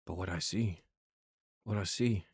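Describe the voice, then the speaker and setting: A man with a husky voice reading horror game narration aloud in a hushed, dramatic style as he plays. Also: sits low, a little slow, dry recording, clean studio sound.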